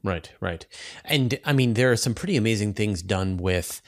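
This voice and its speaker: A man's voice: on the low side, lively intonation, moderate pace. Enthusiastic man hosting a science discussion. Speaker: host of a space science show.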